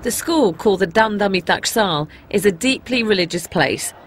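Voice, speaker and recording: A woman's voice: mid-range voice, expressive, moderate pace, slight background noise. A woman with an English accent presenting a personal documentary, in narration and to camera. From England.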